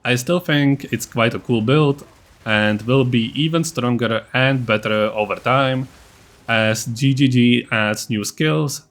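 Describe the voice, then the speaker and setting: A man with a mid-pitched voice narrates a game build guide as a video creator. His delivery is scripted and matter-of-fact. He sounds a little blunt and self-deprecating as he explains things. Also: a bit bright.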